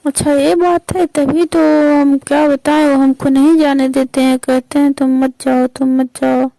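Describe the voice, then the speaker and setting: A woman plays a married aunt on an intimate phone call, her voice high and rather even, with a soft, mellow tone. She speaks colloquially, hesitating and protesting in a coy way. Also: unhurried, dry recording. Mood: coy, defensive.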